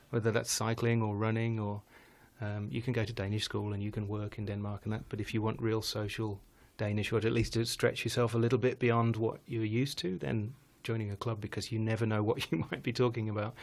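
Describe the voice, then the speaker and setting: Rambling lower-voiced man, chatty guest. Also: flat delivery, brisk, few pauses. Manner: rambling, self-correcting, laughs often. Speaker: guest musician. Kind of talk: casual live show chat. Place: home studio in Denmark.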